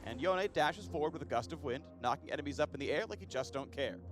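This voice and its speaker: A man's voice: a little high, quick pace. A man reading scripted promotional game narration with a playful, punning presenter delivery. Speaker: champion spotlight narrator.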